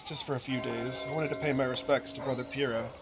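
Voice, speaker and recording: A man voicing a courteous, sincere traveling artist in a game, measured and earnest. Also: mid-range voice, fairly even intonation, gravelly, loud music behind the voice, close to an everyday voice. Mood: subdued. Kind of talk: game dialogue read aloud in character.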